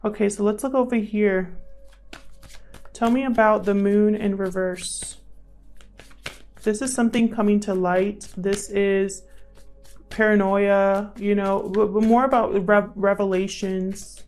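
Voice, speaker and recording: A woman's voice: mid-range voice, fairly even intonation, unhurried, darkish tone. A woman giving a casual, improvised tarot reading, thinking aloud as she talks to the cards and to her viewers. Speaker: tarot reader, channel host. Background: background music.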